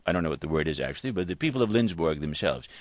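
A man hosting a public radio interview, with a wry manner and drawn-out emphasis on words. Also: extremely low pitch, sing-song, no echo. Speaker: radio host.

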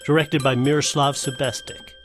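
A man's voice: mid-range voice, fairly even intonation, moderate pace, a bit bright, faint music behind. A man reading scripted introductions as a radio presenter. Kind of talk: scripted narration.

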